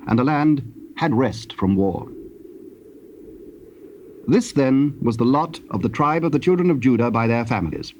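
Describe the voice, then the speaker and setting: A man with a British accent giving formal, measured narration for a dramatized scripture reading. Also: medium pitch, darkish tone. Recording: noisy old cassette tape recording.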